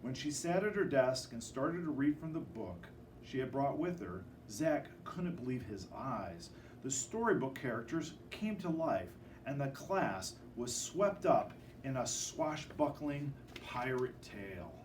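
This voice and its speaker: A man reading a children's picture book aloud in an expressive, dramatic storytelling manner. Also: mid-range voice, a little slow, reverberant. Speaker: storybook reader. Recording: distant microphone with faint background noise.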